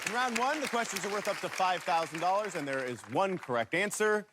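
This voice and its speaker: A man hosting a game show, reading rules and questions in an energetic announcer style. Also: sits high, fairly quick, over a noisy crowd, very noisy. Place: TV studio. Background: background music.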